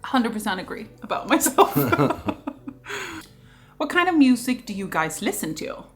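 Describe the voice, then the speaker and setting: A woman's voice: fairly high pitch, a little slow, music in the background. Chatty Swedish woman hosting a Q&A. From Sweden. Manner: lively, chatty, laughs often. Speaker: vlogger host. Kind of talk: casual Q&A chat.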